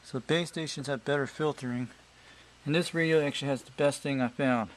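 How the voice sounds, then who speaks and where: A man's voice: medium pitch, steady tone, brisk. A man, an amateur CB radio operator, talking casually and informally in a friendly way with radio slang. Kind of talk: casual hobbyist chat and radio talk. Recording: clearly noisy home video recording.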